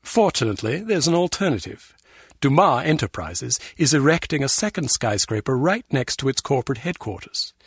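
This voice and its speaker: A man's voice: mid-range voice, very animated, moderate pace, husky, no room sound. A man playing a precise, dry, disdainful intelligence officer in a video game. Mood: dry, skeptical.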